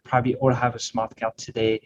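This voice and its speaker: A man's voice: medium pitch, little pitch movement, a little fast. A middle-aged man with a French accent giving an informal guest talk to a class, with a wry, self-deprecating and hesitant delivery. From northern France. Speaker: guest speaker, startup CEO. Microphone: video call microphone. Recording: noisy, muffled video call audio.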